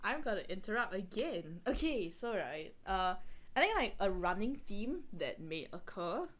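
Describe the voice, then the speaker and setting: A woman's voice: fairly low pitch, fairly bright tone. A woman co-host giving casual, rambling, informal commentary on a story reading.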